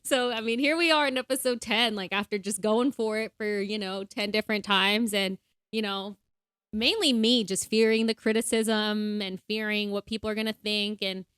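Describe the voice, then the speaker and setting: A young woman talking casually on a podcast, chatty and full of little fillers, and quick to laugh. Her voice sits at an ordinary middle pitch. The sound is dry and close, with no room around it. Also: fairly even intonation, fairly bright tone, clear, resonant tone, no room sound. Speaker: podcast co-host. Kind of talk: casual faith-based podcast chat.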